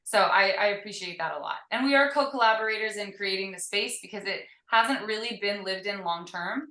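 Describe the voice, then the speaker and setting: A woman guest in a casual video-call interview, talking in an energetic, upbeat way with frequent fillers from her tiny home. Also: medium pitch, brisk, roomy sound. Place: tiny shipping-container home.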